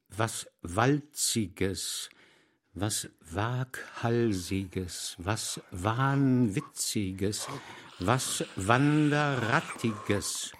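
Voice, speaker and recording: A man reciting lists of words slowly and deliberately in an experimental radio play. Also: medium pitch. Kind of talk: avant-garde radio play word recitation.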